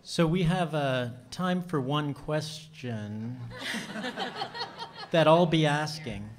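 A man's voice: fairly high pitch, very animated, drawn-out words, noisy background. A man moderating a book panel in a conference hall, asking questions with light, joking asides.

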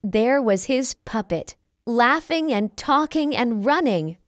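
A woman reading a children's storybook aloud, slow, clear and expressive. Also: fairly high pitch, no echo, dull audio. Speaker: children's book reader. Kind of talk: storybook read-aloud narration.

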